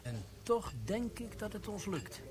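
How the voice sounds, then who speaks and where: A man's voice: high voice, very animated, unhurried, crowd noise. An actor playing a young soldier who coaxes his sergeant into a plan, in a radio play. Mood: coaxing. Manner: eager, coaxing, conspiratorial. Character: scheming young male soldier plotting a heist.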